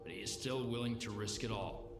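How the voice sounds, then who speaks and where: A man playing a world-weary, pragmatic bartender in a lawless zone, with gruff, slangy video game dialogue. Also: lowish voice, brisk, music in the background, lightly acted. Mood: resigned. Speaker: bartender character. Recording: roomy echo with noisy game ambience.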